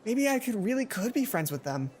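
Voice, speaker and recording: Man putting on a flustered teenage schoolgirl, raised pitch. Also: very animated, fairly quick, a little mellow. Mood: hesitant, hopeful. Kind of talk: visual novel character reading.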